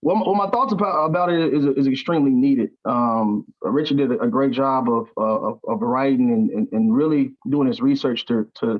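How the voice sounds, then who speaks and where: A man's voice: medium pitch, highs cut off. A man speaking as an actor in an interview, earnest and conversational, often repeating words as he searches for the next phrase. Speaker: stage actor interviewed as a guest.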